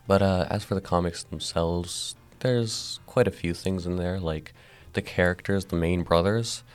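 A young man explaining how he and his siblings made a comic book, in a measured, explanatory way. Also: deep voice, steady tone, smooth, soft background music. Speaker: guest, comic book co-creator. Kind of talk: interview answers.

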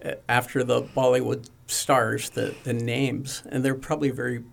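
A man interviewing young comic book creators, speaking haltingly with many fillers and restarts. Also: mid-range voice, level tone, vocal fry. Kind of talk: radio interview.

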